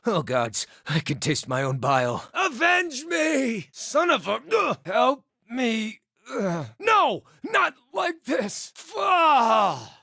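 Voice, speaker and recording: A crude, sardonic druid of the wilds from a fantasy video game. His voice sits high and moves in big theatrical swings, full of wry bite. The recording is dry and close. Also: gravelly, pushed and bright, no room sound, exaggerated voice. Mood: pained, desperate. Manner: wry, theatrical, flowery insults. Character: sardonic, crude wild druid hunter, adult man.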